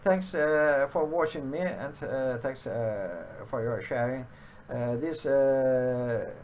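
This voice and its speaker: Man, middle-aged, halting with many fillers, faint background hiss, home study webcam. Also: mid-range voice, a little mellow. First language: Turkish. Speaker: programming teacher. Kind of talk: screen-share coding tutorial.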